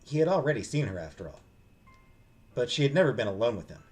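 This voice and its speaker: A man's voice: medium pitch, big pitch swings, fast, a little mellow, soft background music. A man streaming a game, reading the story aloud expressively and dropping into casual asides.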